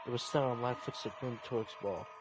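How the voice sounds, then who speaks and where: A man reading a visual novel story aloud in a deliberate, dramatic narration, as a game player. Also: mid-range voice, steady tone, background noise.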